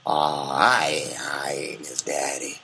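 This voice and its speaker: A depraved old gang leader in a surreal audio drama. The voice is extremely low and full of fry, drawling very slowly with a menacing, drugged ramble that can swoop upward. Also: male voice, level tone, pushed and bright, full character voice. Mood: maudlin, swooping. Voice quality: gravelly, creaky.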